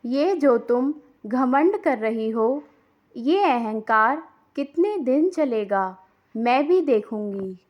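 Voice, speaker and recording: A woman voicing a reproachful, sharp-tongued older mother-in-law who scolds and taunts in a cartoon moral story. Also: fairly high pitch, expressive, slow, highs cut off. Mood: taunting.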